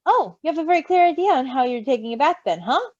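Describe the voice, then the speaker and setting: A woman's voice: high-pitched, big pitch swings, fast, highs cut off. A woman voicing a proud, protective male creature who fusses over a baby, emphatic and cooing, in a storybook reading. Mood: doting.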